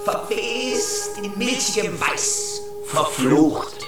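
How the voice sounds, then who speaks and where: An actor playing a feverish reciter of a grotesque night poem in a radio play, theatrical, in drawn-out fragments. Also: medium pitch, expressive, very echoey, over loud music. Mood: eerie, grotesque.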